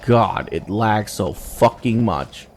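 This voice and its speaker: A man's voice: on the low side, animated, takes it slowly, slight background noise. A man playing a video game, casually commenting and reading dialogue aloud.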